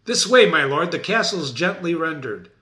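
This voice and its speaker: A man's voice: on the high side, big pitch swings, normal speed, pushed and bright, echoey room. A man playing a loyal old general reporting victory, speaking in formal archaic verse. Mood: confident.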